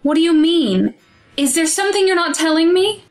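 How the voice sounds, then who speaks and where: A woman's voice: very high-pitched, expressive, normal speed, faint music behind. A woman playing a protective, distressed mother in an audio drama, defensive and anxious about her child. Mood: incredulous, defensive.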